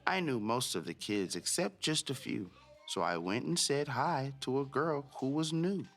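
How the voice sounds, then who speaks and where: Expressive man reading to children, lively pitch. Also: normal speed, faint music behind. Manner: expressive, addressing listeners directly. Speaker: host of a children's read-aloud show. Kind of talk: children's storybook narration and chat to young listeners.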